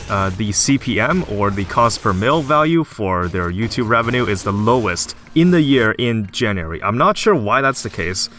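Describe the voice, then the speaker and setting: Young man, slightly hoarse, lively bright delivery, casual gameplay commentary over faint game music. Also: medium pitch. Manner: casual, chatty, explanatory. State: slightly hoarse from recent illness. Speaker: gaming YouTuber.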